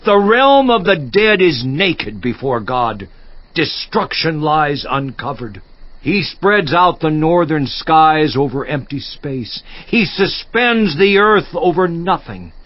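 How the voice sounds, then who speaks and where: A man's voice: a little high, sing-song, takes it slowly, no echo. An actor playing an anguished, defiant righteous man in a theatrical, emphatic scripture monologue. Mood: awed. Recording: slightly muffled audio.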